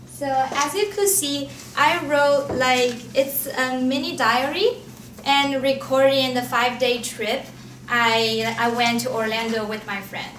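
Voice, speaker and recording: A woman's voice: sits high, fairly bright tone. A young woman giving an informal academic presentation as a student, often restarting and repeating her phrases. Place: indoor room at an academic forum. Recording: echoey, noisy room, distant mic.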